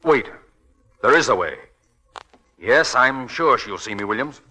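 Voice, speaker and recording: An actor playing a determined gentleman investigator in 1940s radio drama style, insistent and commanding with clipped questions. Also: mid-range voice, lively intonation, a little slow, over loud music, close to an everyday voice. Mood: brisk, decisive.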